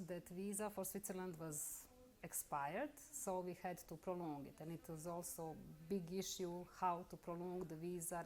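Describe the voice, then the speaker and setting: A middle-aged woman with a Bosnian accent, carefully telling her own story in halting English during an interview. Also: on the low side, darkish tone, poor audio. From Bosnia and Herzegovina. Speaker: interviewee, lawyer. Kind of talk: personal account in an interview.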